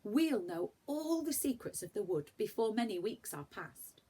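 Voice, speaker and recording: Woman as excitable young girl, storybook character, curious, eager, wondering, swooping lively pitch. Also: measured pace, slight background noise. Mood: excited.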